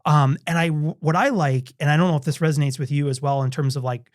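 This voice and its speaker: A man's voice: fairly high pitch, steady tone, fast talker, no echo, studio quality. A man co-hosting a podcast in casual chat, laid-back and informal, talking in loose stop-start phrases. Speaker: podcast co-host; university faculty member.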